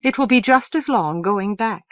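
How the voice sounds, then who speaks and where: A woman reading aloud and voicing a gentle, sorrowful older woman who speaks slowly. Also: mid-range voice, lively intonation, no room sound, muffled recording, natural voice. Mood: grave. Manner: slow and sorrowful.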